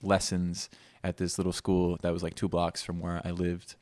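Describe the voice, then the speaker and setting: A man in his twenties from Minnesota, chatting casually in an interview, relaxed and thoughtful with frequent fillers. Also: deep voice, moderate pace, no echo. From Minnesota, USA. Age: 20s. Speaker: pianist guest.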